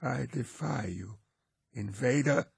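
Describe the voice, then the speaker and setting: A frail old ruler, bitter and defiant, as a narrator puts him on, speaking in a thick antique accent. His voice is breathy, rasping and gravelly, and it rises and falls widely. He speaks slowly in a bright, tense tone. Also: on the low side, lively intonation, no echo, studio quality, voice pushed up, heavily acted. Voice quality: raspy and gravelly. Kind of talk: audiobook character voice.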